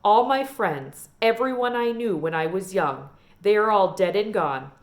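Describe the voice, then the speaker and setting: A woman's voice: medium pitch, expressive, a little slow. A woman voicing a genteel, wistful old small-town librarian lady from a storybook, telling tales in a folksy, emphatic way. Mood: wistful, sad.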